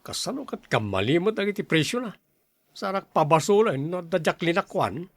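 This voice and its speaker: A man's voice: sits high, very animated, normal speed, no room sound, exaggerated voice. A man acting an irritable Ilocano older brother. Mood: angry, scolding. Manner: scolding, blunt, complaining. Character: irritable, fault-finding older brother and shopkeeper. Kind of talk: radio-style family drama acting.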